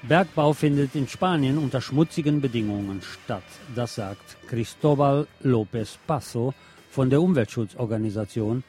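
A man's voice: medium pitch, moderate pace, mellow, soft background music. A man presenting on the radio, reading press articles aloud.

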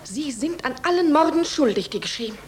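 An actress playing a grieving, unyielding widow in a radio play, with a stern, declamatory delivery. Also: female voice, high voice, animated, fairly quick. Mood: stern reproach. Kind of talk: theatrical radio drama acting.

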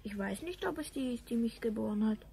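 A woman's voice: a little high, lively intonation, brisk, creaky, warm tone, slight background noise. A woman playing a trusting, obedient young boy with an innocent, earnest manner in a radio play. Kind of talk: 1970s German radio play acting.

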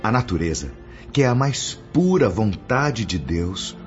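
Radio drama casting: male actor as solemn spirit teacher, Brazilian, low-pitched, measured and lofty, dull recording. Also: sing-song. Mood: solemn, reverent. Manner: reverent, declamatory, measured. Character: Brother Clemente, solemn male spirit teacher instructing pupils. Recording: dull lo-fi audio under music.

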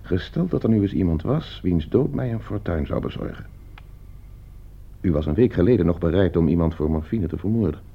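An actor playing a coldly scheming gentleman heir in a radio play, suave, calculating and condescending. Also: low voice, animated, a little fast, creaky, slightly rough, heavily acted. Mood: coolly persuasive. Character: coldly scheming, broke gentleman heir plotting his uncle's murder. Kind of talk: Dutch radio play acting. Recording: muffled old radio play recording.

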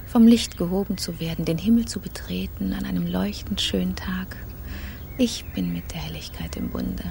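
An actress in a radio play playing a high-strung, fastidious, self-absorbed woman in a breathy, half-whispered inner monologue. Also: a little mellow, slight background noise. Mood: blissful, dreamy.

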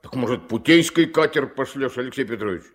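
Actor as a gruff, laconic riverboat captain. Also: male voice, medium pitch, lively intonation, fairly quick, natural voice. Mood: grumbling. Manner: folksy, laconic, grumbling. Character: gruff, stubborn, dry-witted male riverboat captain. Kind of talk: 1970s Soviet radio play acting. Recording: old mono radio play recording.

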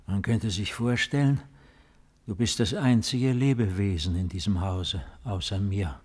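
A man's voice: lowish voice, measured pace, darkish tone, slightly rough. A man acting a grandiose, brooding fallen Roman emperor in a 1950s radio play, stately and pompous in manner. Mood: brooding, calm.

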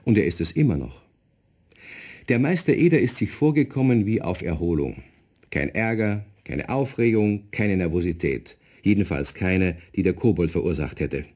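Deep bavarian guy telling a kids story, really animated intonation, addresses the listeners. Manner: expressive, addressing young listeners directly. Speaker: narrator. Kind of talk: scripted storytelling for children's radio play.

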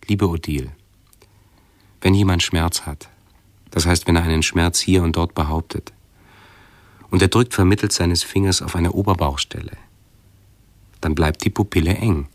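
A man's voice: sits low, steady tone, normal speed, long pauses, no room sound. An actor playing a pedantic, hesitant male hypochondriac intellectual who keeps correcting himself in a radio play. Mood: pedantic, uneasy.

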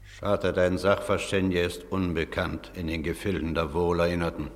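An actor playing a stern judge of the dead in a radio drama, speaking gravely and formally. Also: male voice, low voice, level tone, moderate pace, close to an everyday voice. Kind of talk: theatrical radio drama acting. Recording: long cavernous hall reverb.

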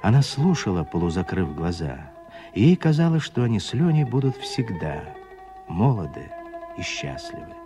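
A man narrating a literary radio drama as a measured, expressive storyteller. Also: lowish voice, loud music behind the voice.

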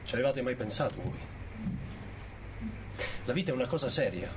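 An actor playing a pompous, self-righteous conservative business owner, sententious and rhetorical, in a satirical theatre monologue. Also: male voice, medium pitch, lively intonation, a little fast, noisy background, natural voice. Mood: sententious, solemn.

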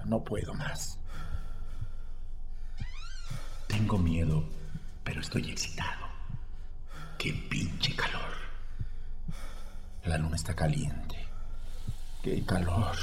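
Deep-voiced Mexican brooding, obsessed man. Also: noisy background. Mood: tormented, anxious. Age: 30s. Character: obsessed, anxious 32-year-old man narrating his troubled thoughts. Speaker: lead actor and narrator. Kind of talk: radio drama acting with confessional first-person narration. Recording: echoing long reverb, music underneath.

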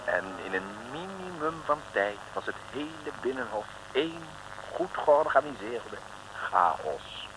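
Wry, animated radio storyteller. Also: male voice, mid-range voice, unhurried. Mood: wry, mock-dramatic. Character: wry male storyteller of a comic radio serial. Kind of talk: scripted narration. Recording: noisy old radio recording.

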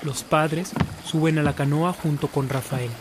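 An actor as the narrator of a radio drama, telling the story in a dramatic scripted style. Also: male voice, fairly high pitch, fairly even intonation, measured pace, heavy background noise, lightly acted.

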